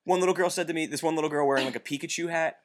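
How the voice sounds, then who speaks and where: A young man with a loud, booming voice, telling a long story in casual chat, rambling, with constant "like" and "dude" fillers. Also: rapid-fire. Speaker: co-host recounting a bookstore event.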